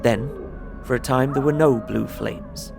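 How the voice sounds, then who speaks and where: A man playing an anxious traveller in an audio drama, recounting a frightening journey in measured, literary, suspenseful narration. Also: medium pitch, gravelly, over music. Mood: fearful wonder. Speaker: narrating lead character.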